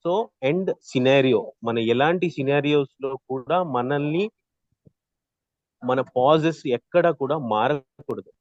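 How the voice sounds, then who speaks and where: A man teaching an interactive online class as a trainer, explaining with examples and often checking in with a quick "right?". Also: medium pitch, no room sound, dull audio. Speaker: voice modulation trainer.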